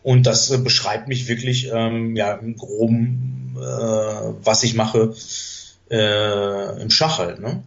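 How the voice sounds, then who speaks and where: A man's voice: fairly low pitch, steady tone, slow, gravelly. A man in his fifties, born and raised in Germany with Greek as his mother tongue, telling his life story as a podcast guest in a rambling way, full of hesitations and self-corrections. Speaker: podcast guest, chess master. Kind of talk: casual conversational storytelling. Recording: dull lo-fi podcast audio.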